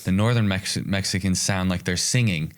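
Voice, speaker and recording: A man's voice: low voice, moderate pace. A man co-hosting a casual interview, chatty and telling anecdotes. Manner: chatty, tells anecdotes, laughs along. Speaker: co-host.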